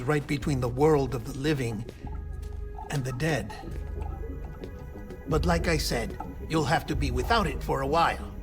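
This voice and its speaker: An actor playing a smooth-talking, clinical scientist in a cinematic video game, explaining things in theatrical, precise phrasing. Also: male voice, on the high side, lightly acted. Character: fussy, smooth-talking male scientist-doctor, clinical and secretive.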